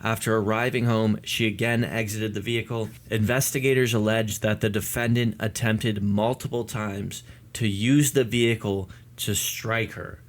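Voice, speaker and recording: Low-voiced man hosting news commentary. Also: steady tone, normal speed. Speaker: video host. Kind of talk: news commentary to viewers, part read statement.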